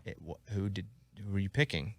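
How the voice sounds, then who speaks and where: A man's voice: low voice, animated, fairly quick, no room sound, studio quality. An American man with a talkative, joking manner, interviewing a guest casually as a radio show host. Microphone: close studio microphone.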